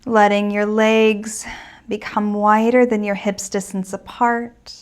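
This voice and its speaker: Slow-paced woman guiding a gentle meditation. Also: mid-range voice, level tone. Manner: soothing, unhurried, reassuring. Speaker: meditation and yoga teacher.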